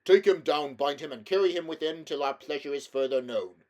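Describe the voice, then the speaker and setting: A man voicing a bluff, hearty older king with a gruff, commanding way of speaking. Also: fairly quick, darkish tone, natural voice. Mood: stern. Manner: booming, commanding, old-fashioned formal speech.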